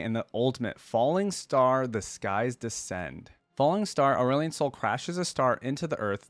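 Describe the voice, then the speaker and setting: A man's voice: lowish voice, dry recording. A man hosting a reaction video in casual commentary, thinking aloud with hedges and sometimes reading game text.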